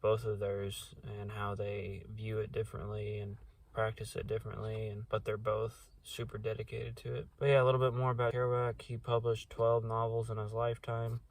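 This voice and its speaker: A man's voice: lowish voice, creaky. A young man giving a casual, rambling book review, full of fillers like "um" and "uh" and restarted sentences. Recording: distant mic with faint background noise.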